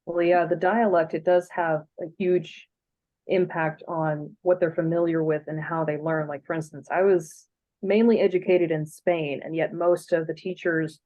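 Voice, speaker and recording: A woman's voice: low-pitched, steady tone, moderate pace, mellow. A woman teaching Spanish who explains her point with examples in a group discussion. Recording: dull lo-fi sound.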